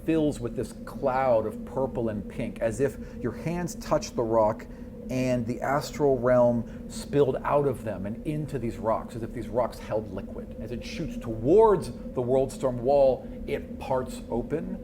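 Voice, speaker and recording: A man's voice: mid-range voice, unhurried, noisy background. A man acting as game master, narrating a tabletop fantasy game in descriptive, improvised second-person storytelling. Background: prominent music.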